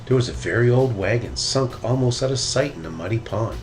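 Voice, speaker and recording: A man reading a children's storybook aloud as narrator, in an animated storytelling style. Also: medium pitch, a little fast, faint music behind. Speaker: storybook reader.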